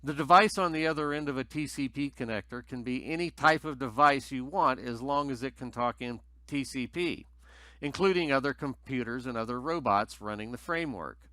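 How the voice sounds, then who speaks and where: A man with a bright, forward voice at a middle pitch presents a tutorial. He explains things methodically in scripted narration, recorded close and very dry. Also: brisk, no room sound.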